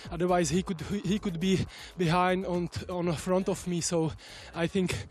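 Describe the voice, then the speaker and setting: Male bike racer, non-native English speaker, halting interview, slightly high voice. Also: level tone, a little mellow. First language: not English.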